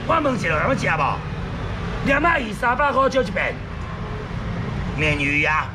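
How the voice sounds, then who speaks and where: Casting: male Taiwanese street auctioneer, middle-aged, highish animated voice, loud insistent patter, very noisy outdoor market. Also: normal speed. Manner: insistent, repetitive, loud calling out. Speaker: seafood auction street vendor. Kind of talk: market hawking, sales patter. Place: open-air market stall on a street. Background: market and vehicle noise.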